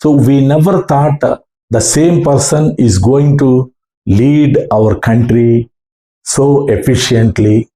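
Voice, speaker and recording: A man with a South Indian accent recounting his experience as an amateur radio operator in halting, earnest short phrases. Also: medium pitch, warm tone. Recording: roomy, echoing room sound.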